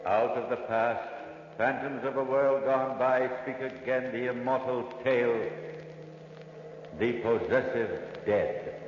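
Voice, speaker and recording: An actor playing a solemn, ominous announcer of eerie tales in theatrical old-time radio style. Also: male voice, medium pitch, slow pace, noisy background, natural voice. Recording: muffled, echoing old radio recording.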